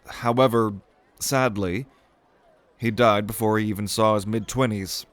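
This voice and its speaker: Man acting an ambitious young mage prince, low-voiced. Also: fairly even intonation, unhurried, no echo, close to an everyday voice. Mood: reverent, eager. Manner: theatrical, earnest, grandly expository. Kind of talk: acted audio roleplay spoken to the listener.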